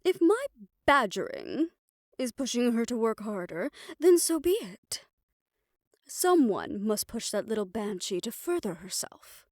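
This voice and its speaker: Woman acting a slow-spoken, stern protective doctor. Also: on the high side, very animated, studio quality. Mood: stern, defiant. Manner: measured, deliberate, formal, faintly haughty phrasing. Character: stern, protective female doctor, softly fond former yandere. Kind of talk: scripted audio roleplay, speaking intimately to the listener. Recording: roomy echo.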